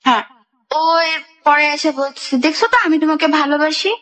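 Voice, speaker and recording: A woman's voice: high voice, moderate pace, fairly bright tone. A young woman with a Bangladeshi accent, telling her own life story as a guest in a radio interview.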